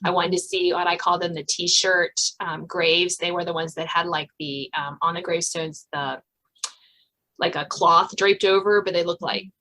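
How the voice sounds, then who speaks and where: A woman's voice: lowish voice, steady tone, moderate pace, bright, forceful tone, low-quality recording. A woman from Virginia with an American accent, hosting a casual, chatty interview in a self-deprecating way, often trailing off into asides. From Virginia, United States. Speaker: podcast host interviewing an author.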